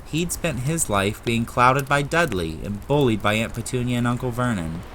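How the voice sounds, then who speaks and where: A man delivers dramatic audiobook narration in a bright, forceful voice of medium pitch. Faint ambient effects sit behind the reading. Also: expressive, moderate pace, slight background noise. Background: ambient sound effects.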